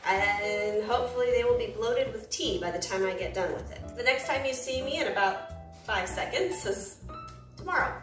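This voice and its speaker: A woman's voice: a little high, normal speed, recorded from a distance, soft background music. Chatty American woman in an echoey kitchen. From United States. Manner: chatty, upbeat, rambling asides. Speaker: cooking channel host. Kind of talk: casual cooking vlog. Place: home kitchen.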